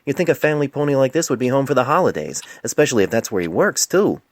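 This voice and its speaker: A man's voice: mid-range voice, animated, quick pace, no echo, voice pushed up, close to an everyday voice. A man voicing a young male pony resident doctor in a storybook reading, defensive, indignant and smart-aleck. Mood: exasperated.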